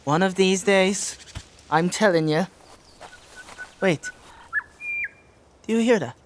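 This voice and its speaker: An actor playing a naive, caring young farm boy in a dark fantasy audio drama, informal and earnest in manner. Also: medium pitch, slow pace, a little hiss or hum, lightly acted. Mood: teasing.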